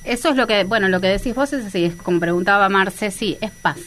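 A woman's voice: medium pitch, quick pace, a bit bright, slight background noise. Confident woman giving tips. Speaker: guest spiritual guide. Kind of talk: explanatory chat.

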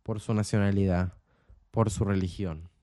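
A man's voice: sits low, fairly even intonation, normal speed, dark tone, no room sound, clean studio sound. A young man with an Argentine accent, hosting his own program and speaking in an earnest, impassioned monologue full of repeated emphatic phrases. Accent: Argentine Spanish. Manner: earnest, repetitive rhetorical emphasis. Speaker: program host.

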